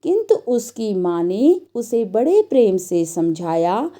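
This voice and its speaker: A woman teacher in a scripted storytelling lesson for children, speaking in a deliberate, instructional manner and addressing the children directly. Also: medium pitch, very animated, warm tone.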